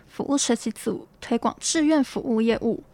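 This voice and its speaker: A woman's voice: a little high, normal speed, a little mellow, no echo. A young woman with a Taiwanese Mandarin accent reading scripted campus news as a student presenter. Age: young adult.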